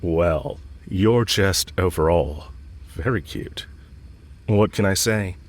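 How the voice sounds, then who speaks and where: A man performs a smitten villain with a low voice, speaking straight to the listener as in an intimate audio drama. He is cocky and casual, teasing as he goes, and blunt about his affection. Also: slow pace. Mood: fond, flirtatious. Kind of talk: romantic audio roleplay, spoken intimately to the listener.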